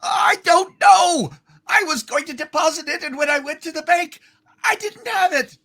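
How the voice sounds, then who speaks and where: A man's voice: extremely high pitch, lively intonation, moderate pace, pushed and bright, pitched above the performer's own voice, heavily acted. A man voicing a frantic, self-blaming, bumbling old uncle who stammers and wails in a hammed-up radio play. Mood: panicked. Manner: stammering, wailing.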